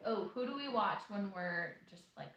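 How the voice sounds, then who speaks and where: A woman's voice: medium pitch, animated, moderate pace, fairly bright tone, slight background noise. A woman in her late twenties, co-hosting a casual live Q&A, reading viewer questions aloud in a chatty, loose way. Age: 20s. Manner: chatty, reads questions aloud, many fillers. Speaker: co-host of a homesteading channel. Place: room in an off-grid shop. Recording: echoey room.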